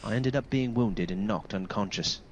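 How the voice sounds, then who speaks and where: A man's voice: lowish voice, normal speed, a little hiss or hum, close to an everyday voice. An actor playing a grieving male soldier in a video game, speaking in a solemn, formal, deferential way. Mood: grim, haunted. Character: wounded, grieving male soldier recounting a massacre. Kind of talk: dramatic video game dialogue.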